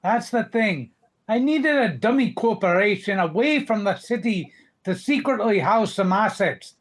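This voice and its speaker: A grumpy old male duck tycoon from a cartoon comic, acted out live by an amateur reader. He is businesslike and exasperated, and his pitch swings widely as he talks. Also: animated, measured pace. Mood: brusque, scheming. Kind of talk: live comic dub reading.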